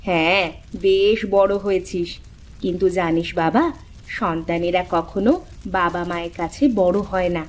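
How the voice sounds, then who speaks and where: A woman playing a gentle, patient mother of grown sons in a fairy-tale cartoon. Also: lowish voice, little pitch movement, a little slow, close to an everyday voice. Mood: tender.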